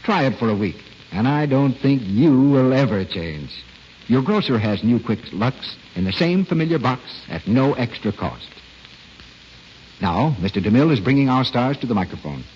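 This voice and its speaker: Lively American radio announcer man, swooping delivery. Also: mid-range voice, moderate pace. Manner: polished, persuasive, emphatic delivery. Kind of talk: 1940s radio commercial and announcing. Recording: dull, noisy old radio broadcast.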